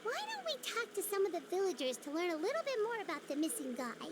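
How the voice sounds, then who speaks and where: Tiny excitable game fairy girl: very high, squeaky, childlike, bouncy intonation, music underneath. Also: fast, heavy background noise. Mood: excited. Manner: perky, exclaiming, refers to self in third person. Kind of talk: video game voice acting. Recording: music playing behind the voice.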